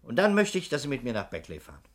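An actor playing a shrewd, composed insurance investigator in a 1960s German radio crime drama, methodical and politely insistent. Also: male voice, mid-range voice, huge pitch swings, very fast, soft background music. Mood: firm, reassuring.

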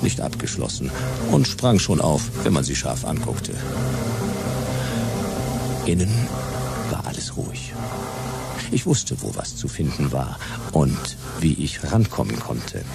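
A hard-boiled private eye telling his case in a radio drama. His voice is very deep and gravelly with a varied melody. The delivery is wry, laconic and slangy, in short clipped sentences. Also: big pitch swings, unhurried, long pauses, over loud music, full character voice. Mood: wry, determined. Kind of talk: first-person detective radio play narration.

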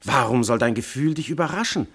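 God disguised as a lover, solemn and intense, big pitch swings, old verse radio play. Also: male voice, lively intonation, brisk, fairly bright tone, husky. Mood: probing, insistent. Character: amorous, commanding male god in a husband's guise. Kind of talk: classical verse radio drama.

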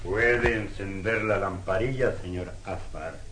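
Sinister, polite screen actor for radio drama: deep, unhurried, measured, reserved. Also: male voice, over music. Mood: cool, courteous. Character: sinister, courteous Hollywood actor who impersonates Satan.

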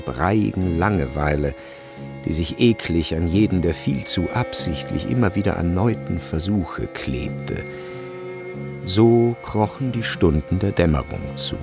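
A man narrating a literary radio drama from a script, measured and precise in his storytelling. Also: low-pitched, gravelly.

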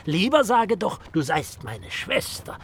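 High male voice, spiteful talking dog, swooping declamation, haughty, radio drama. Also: big pitch swings, slow, a bit bright, a little hiss or hum, exaggerated voice. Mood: declamatory. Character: hateful, sneering male dog reading a pamphlet aloud. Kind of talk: German radio drama acting.

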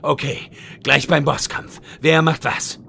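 A man's voice: on the high side, little pitch movement, a little slow, gravelly, bright, forceful tone, slight background noise, heavily acted. A man playing an earnest, pedantic know-it-all raid leader in a fantasy comedy audio drama. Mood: commanding.

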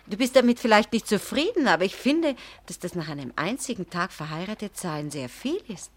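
An actress in a German radio play, playing a frank, affectionate young wife who speaks earnestly and confidingly. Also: female voice, mid-range voice, animated, a little fast, no room sound, lightly acted. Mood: tender, confiding.

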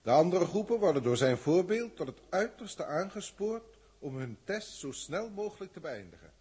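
Cold alien overseer, clinical and formal, lively swooping pitch, husky edge, early 1960s radio play. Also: male voice, exaggerated voice. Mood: detached. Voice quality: measured, formal, clinically detached. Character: cold alien overseer testing humans, middle-aged man. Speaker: senior proctor reporting to a galactic council. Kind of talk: 1960s radio drama acting.

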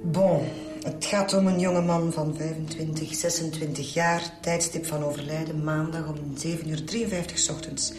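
Radio drama: actress as brisk Flemish female pathologist, blunt and clinical, lowish pitch, music underneath. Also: fairly even intonation, reverberant, lightly acted. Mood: dry, clinical. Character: matter-of-fact female police pathologist, brisk and dry.

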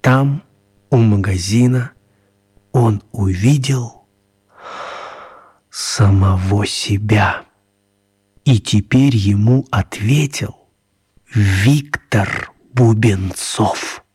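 A man's voice: fairly low pitch, very slow, long pauses, a little mellow, breathy edge, dry recording, studio quality. A man narrating a scripted story for a children's radio play.

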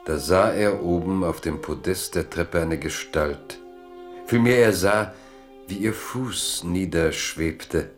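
A man with a low voice narrates a literary radio drama. His delivery is slow and measured, with music behind him.

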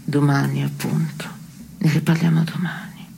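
A creaky-voiced, cynical woman, speaks in terse, deadpan theatre lines. She is dry and pragmatic, with faint noise behind her. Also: low voice, moderate pace. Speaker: stage actor and playwright. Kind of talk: theatrical dialogue, absurdist radio theatre.

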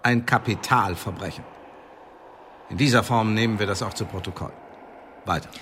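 An actor in a German radio drama playing a cold, mocking secret service tribunal chief, officious and commanding. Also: male voice, on the low side, moderate pace, a bit bright, natural voice. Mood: stern, emphatic. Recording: echoey hall, clear background noise.

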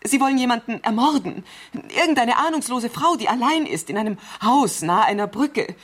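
An actress playing a wealthy married woman in a radio crime drama, agitated, demanding and haltingly insistent on the telephone. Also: a little high, lively intonation, husky. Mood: panicked, urgent. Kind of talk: 1970s German radio crime drama acting.

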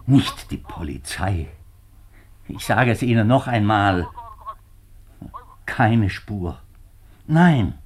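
Anxious, pleading minister in a 1950s radio play; deep, slow-paced, formal and halting. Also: male voice, a little hiss or hum, heavily acted. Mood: agitated, pleading. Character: distraught male government minister, middle-aged, anxious and pleading. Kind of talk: 1950s German radio drama acting.

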